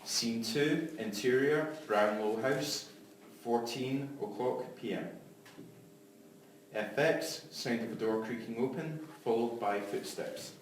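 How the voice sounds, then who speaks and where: A man's voice: medium pitch, slow pace. A man reading stage directions as scripted narration for a drama. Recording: very echoey, distant, faint noise.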